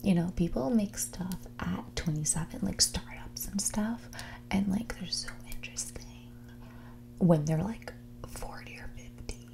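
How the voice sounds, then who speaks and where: A woman acts out a spoiled, mean rich girl on a first date in an ASMR roleplay. Her lowish voice slips between whisper and soft, fry-laden speech. She drawls with bored, haughty condescension. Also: unhurried. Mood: bored disdain.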